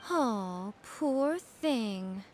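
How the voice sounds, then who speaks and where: An actress playing a sly, teasing fox shrine maiden in an anime video game, composed, mock-sympathetic and coolly menacing. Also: female voice, a little high, lively intonation, very slow, heavy background noise. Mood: mock pity.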